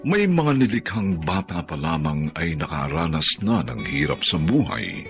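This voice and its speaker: A man's voice: rumbling low, big pitch swings, normal speed. A man with a Filipino accent giving dramatic scripted narration for a radio drama. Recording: music behind the narration.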